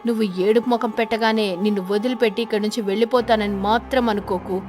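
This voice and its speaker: A woman voicing a vengeful female ghost sparrow in an animated children's tale, threatening and accusing as she interrogates. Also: mid-range voice, fast talker, over music, close to an everyday voice. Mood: menacing. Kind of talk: animated children's story character voice.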